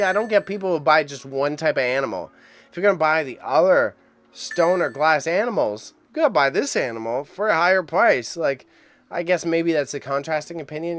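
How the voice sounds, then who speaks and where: A man hosting a casual auction livestream, chatty and excitable as he rambles to his chat, with a mild impediment on sh and ch sounds. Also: fairly high pitch. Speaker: livestream host reacting to an online auction. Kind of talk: casual live stream commentary.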